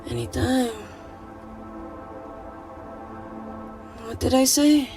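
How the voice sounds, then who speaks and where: A woman's voice: high-pitched, steady tone, moderate pace, mellow, faint music behind, lightly acted. An actress playing a wounded, sardonic young woman intern in a naturalistic film drama. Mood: curious.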